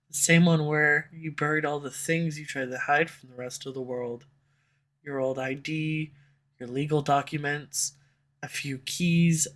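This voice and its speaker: A woman voicing a sharp-tongued, tsundere female villain who swears and speaks sarcastically, recorded close on a studio condenser mic. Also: low voice. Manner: sarcastic, swears, turns confessional. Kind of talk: audio roleplay. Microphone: studio USB condenser mic.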